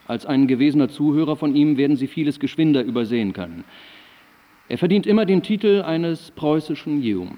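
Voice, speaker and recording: An actor playing an eloquent, wry eighteenth-century German philosopher, reciting his letters in a measured, ornate way for a radio play. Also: on the high side, expressive, in a large hall, highs cut off, lightly acted. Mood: curious.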